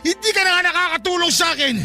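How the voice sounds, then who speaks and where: An actor playing a grieving, furious father who shouts and sobs accusations at his wife. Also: very high voice, fast talker, faint music behind, exaggerated voice. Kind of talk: audio drama acting.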